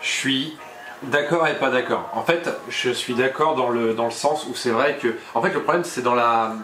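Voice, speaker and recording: A man co-hosting a casual chat over a cocktail, animated, laughing often and repeating words. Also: medium pitch, moderate pace. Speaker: co-host. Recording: echoey room, faint noise.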